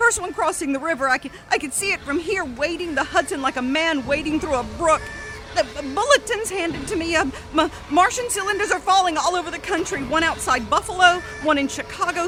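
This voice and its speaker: An actress playing a frightened radio announcer giving a halting eyewitness broadcast in a radio drama. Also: female voice, very high-pitched. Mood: alarmed, awed. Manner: halting, stammering eyewitness reporting. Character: frightened female radio announcer reporting a city's fall. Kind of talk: radio drama acting, live emergency broadcast. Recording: constant noise, vehicle-like ambience behind.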